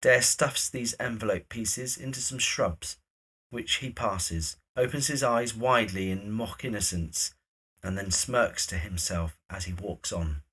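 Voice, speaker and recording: A man's voice: fairly low pitch, unhurried, sharp tone, husky. A man reading scripted stage directions as the narrator of an audio drama.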